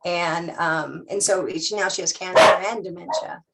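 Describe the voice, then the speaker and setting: A woman's voice: on the low side, moderate pace, very noisy. Chatty middle-aged woman on a video call. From California. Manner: chatty, self-deprecating, many fillers and restarts. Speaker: guest speaker. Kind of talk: casual chat then presentation on Zoom.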